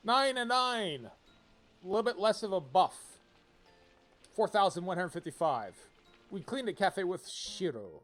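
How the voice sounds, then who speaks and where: A man's voice: high voice, big pitch swings, measured pace, pauses often, fairly bright tone. A man giving casual, rambling live commentary as he streams a video game, often repeating phrases as he riffs. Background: game music.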